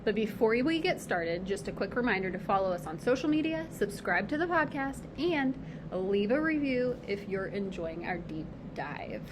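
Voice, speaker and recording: A woman co-hosting a podcast, reading a quick intro and giving short reactions in casual chat. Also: medium pitch, sing-song, noisy background. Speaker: podcast co-host.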